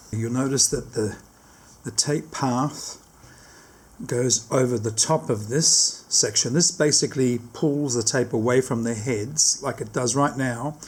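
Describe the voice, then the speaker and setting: A man's voice: mid-range voice, slightly rough. A man giving a casual hands-on product demonstration, explaining step by step and often rephrasing his instructions.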